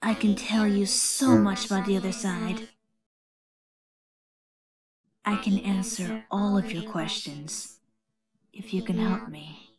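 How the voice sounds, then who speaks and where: A woman voicing a lonely, pleading female animatronic chicken in fan-made character lines, soft, breathy and plaintive. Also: mid-range voice, steady tone, measured pace, music in the background, natural voice. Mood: secretive, pleading.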